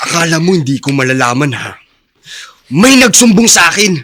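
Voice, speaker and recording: A man's voice: a little high, sing-song, normal speed, sharp tone, full character voice. An actor playing a jealous, defiant young man in Filipino radio drama, confrontational and accusing. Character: jealous, defiant young son and possessive boyfriend.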